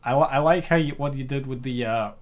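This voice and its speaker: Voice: medium pitch, fast. A co-host narrating a comic dub and reacting casually, with an ironic, teasing manner.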